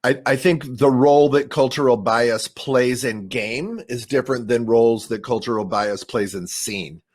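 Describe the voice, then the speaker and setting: An American man on a discussion panel talks through his ideas as they come, with plenty of ums and small pauses. His voice is of middle pitch, unhurried and reflective. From United States. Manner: reflective, thinking aloud with fillers. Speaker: improviser, panelist.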